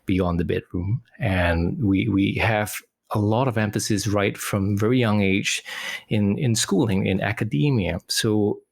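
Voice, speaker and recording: Gravelly low-voiced man from Singapore. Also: no room sound. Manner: quick, halting speech with many fillers and restarts. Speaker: psychologist guest. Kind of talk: casual interview talk.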